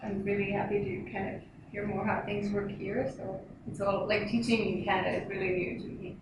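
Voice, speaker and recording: A woman's voice: medium pitch, level tone, fairly quick. Female researcher, informal introduction, far from mic with strong echo. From Finland. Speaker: postdoctoral researcher. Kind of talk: casual self-introduction. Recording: very echoey room, distant, noisy.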